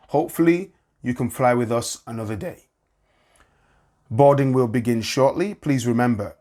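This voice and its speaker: A man delivering scripted spoken word styled as an airline boarding announcement, in a measured, deliberate, preaching way. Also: medium pitch, a little mellow.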